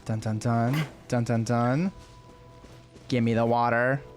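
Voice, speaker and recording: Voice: mid-range voice, steady tone, takes it slowly. A game streamer giving casual, slangy playthrough reactions, gushing and often repeating phrases. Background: game music.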